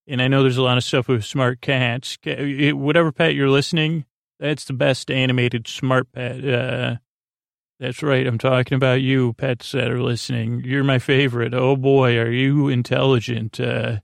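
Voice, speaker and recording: Low, creaky-voiced man rambling at bedtime. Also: little pitch movement, no room sound, studio quality. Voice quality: gravelly, creaky voice. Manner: meandering, halting, full of tangents and self-interruptions. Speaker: podcast host. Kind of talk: rambling bedtime monologue to help listeners sleep.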